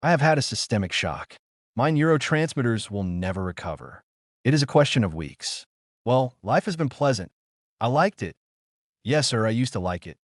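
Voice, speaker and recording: A man's voice: medium pitch, very animated, moderate pace, no room sound, clean studio sound, lightly acted. Man voicing weak, shaken dying doctor. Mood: weak, resigned. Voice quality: weak, unsteady. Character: dying, terror-shaken older male doctor, bitter and resigned.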